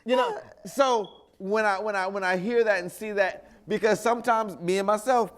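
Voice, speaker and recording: High-pitched man, playful banter. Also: moderate pace. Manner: playful, interrupting, imitates other people. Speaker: podcast co-host. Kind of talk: casual chat.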